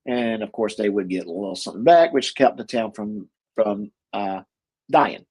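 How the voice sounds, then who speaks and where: A man with a husky voice, co-hosting a casual chat about movies, rambling with lots of fillers and restarts. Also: on the low side, normal speed, darkish tone. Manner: rambling, many fillers and restarts, chuckles often. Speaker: co-host.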